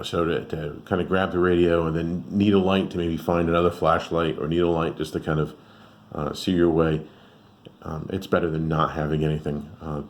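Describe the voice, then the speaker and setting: A man's voice: extremely low pitch, a little mellow. A man giving a casual, unscripted product review as a host, rambling conversationally and thinking aloud. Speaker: radio reviewer and channel host.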